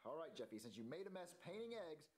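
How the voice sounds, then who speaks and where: Brief: man as a short-tempered guy, quick, stammering, lively pitch. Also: soft background music. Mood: exasperated. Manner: exasperated, stammering, shouting when upset. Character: impatient, grumpy young man looking after a kid. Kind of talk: comedy puppet skit acting.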